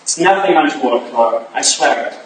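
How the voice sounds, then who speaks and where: A man's voice: medium pitch, animated, brisk, close to an everyday voice. A man playing an anxious, affectionate Victorian husband in a staged reading, tender but defensive. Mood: flustered, defensive. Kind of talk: staged reading of a period drama. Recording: distant, very echoey room, clear noise.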